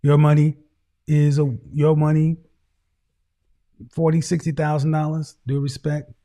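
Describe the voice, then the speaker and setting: A man with a fairly high voice lays into a caller with blunt, lecturing advice, repeating his points for weight. He sounds middle-aged and talks over a video call. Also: monotone, a little slow, mellow. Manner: harsh, lecturing, repeats phrases. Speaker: host.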